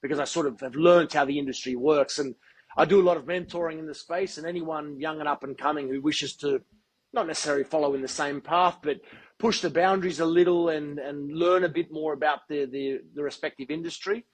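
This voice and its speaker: Guy on a podcast, kinda high voice, careful and well spoken. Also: steady tone, breathy edge, low-quality recording. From Australia. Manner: measured, articulate, deliberate. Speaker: guest, football TV presenter. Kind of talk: podcast interview.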